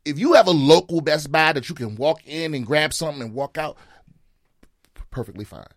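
A man hosting a casual chat, ranting in a profane, animated way and repeating himself. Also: a little high, a little fast, no echo, studio quality. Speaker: comedian podcast host.

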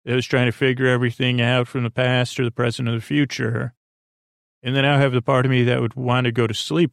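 A man with a creaky voice hosting a bedtime podcast in a gentle, meandering, halting monologue that keeps trailing off into whimsical tangents. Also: medium pitch, no room sound, clean studio sound. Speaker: podcast host. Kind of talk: rambling bedtime monologue to the listener.

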